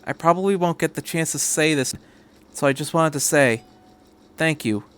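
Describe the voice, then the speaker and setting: A man voicing a timid, grateful mother with a soft, hesitant manner in a story reading. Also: a little high, moderate pace, clean tone, voice pushed up, close to an everyday voice. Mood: grateful, nervous. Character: timid, grateful mother speaking softly to a boy.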